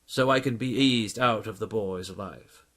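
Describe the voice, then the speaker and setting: A man voicing a dutiful, concerned butler in an audiobook, speaking in a formal, measured and politely reserved way. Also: lowish voice, a bit bright. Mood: resigned, formal. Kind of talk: audiobook character acting.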